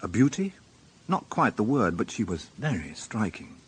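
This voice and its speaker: An actor playing a shrewd, educated police inspector, dry, questioning and ironic, in a radio drama. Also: male voice, fairly low pitch, sing-song, moderate pace, a little hiss or hum. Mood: curious, dry.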